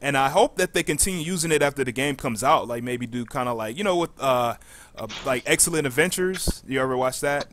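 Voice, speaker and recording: A man hosting a casual gaming community chat, talking in an informal, halting way. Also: mid-range voice, fairly bright tone. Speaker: podcast host. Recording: clearly noisy background.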